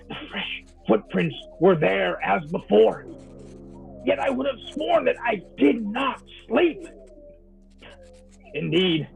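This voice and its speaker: A male actor playing a haunted, sleepless man who fears for his sanity, in a halting, theatrical old-time radio drama monologue. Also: high voice, creaky, heavily acted. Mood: haunted, weary. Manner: theatrical, halting, archaic literary diction. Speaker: actor in a live audio drama. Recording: thin phone-like audio with faint music.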